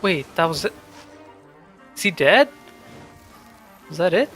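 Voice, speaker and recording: A man's voice: on the high side, big pitch swings, takes it slowly, fairly bright tone. Young man chatting over a game, music behind. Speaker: game streamer. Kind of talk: casual playthrough commentary.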